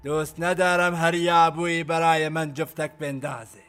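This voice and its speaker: Cruel bossy militia commander, highish voice, menacing, audio drama w faint music. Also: male voice, lively intonation, a bit bright. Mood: menacing authority. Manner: menacing, imperious, bellowing when angered. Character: ruthless, domineering male armed commander barking orders and threats.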